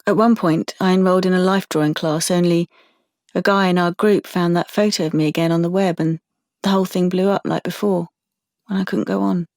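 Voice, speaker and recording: A woman's voice: fairly low pitch, fairly even intonation, fairly quick, a little mellow, no room sound, clean studio sound, natural voice. An actress playing a withdrawn, haunted young woman in an audio drama monologue, speaking hesitantly and intimately with a British accent. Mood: troubled, reflective. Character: withdrawn, haunted young woman traumatised by surveillance and a leaked photo.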